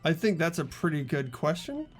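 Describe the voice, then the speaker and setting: A man's voice: on the high side, sing-song, normal speed, faint music behind. A man hosting a casual group playthrough, talking hesitantly with filler words. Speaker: host running the playthrough. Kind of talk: casual game chat.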